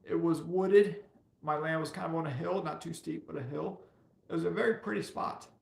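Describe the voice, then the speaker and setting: A man in his fifties speaks in a fairly high-set voice with a creaky, slightly hoarse edge. He chats casually as a livestream host, repeating key points for emphasis. The room adds a noticeable echo around him. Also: moderate pace, darkish tone. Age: 50s. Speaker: personal finance livestream host. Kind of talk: casual livestream chat answering viewers. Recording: roomy, echoey sound.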